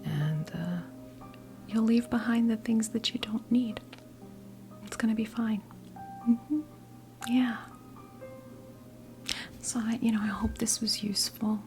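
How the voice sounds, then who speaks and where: An American woman in her fifties playing a kindly witch tarot reader in an ASMR role play, whispering softly, gently and reassuringly with hesitant, unhurried phrasing. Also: fairly high pitch, soft background music. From New Jersey, United States. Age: 50s. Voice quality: soft breathy whisper.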